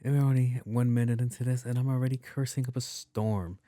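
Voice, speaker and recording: Soft-voiced man, mellow and dry. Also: steady tone, brisk, no echo. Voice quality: soft, not deep. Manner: loose and profane, with many restarts and repeats. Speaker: podcast host. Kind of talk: casual rambling monologue.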